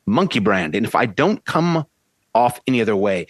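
A woman voicing a pompous male professor who barks orders and lectures grandly. The pitch leaps wildly between lines, and the recording is dry and close. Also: very animated, normal speed, no echo, exaggerated voice. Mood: grandiose. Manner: imperious, brusque, theatrical. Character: arrogant upper-class male phonetics professor. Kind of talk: amateur play reading.

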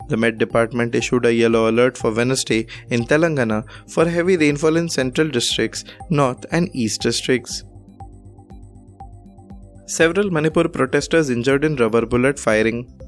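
A man with an Indian accent reads scripted news as a presenter, in a formal, measured way. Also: medium pitch, faint music behind.

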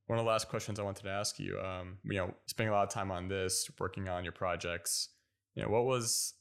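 American guy hosting an interview, deep voice, gravelly, friendly. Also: fairly even intonation, brisk, fairly bright tone. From United States. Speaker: interviewer, host. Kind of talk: casual interview.

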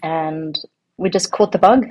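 A woman's voice: deep voice, a little slow, a little mellow, slight background noise. An Australian woman in her thirties, talking casually as an interview guest, rambling with many ums and restarts. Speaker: guest, home farmer.

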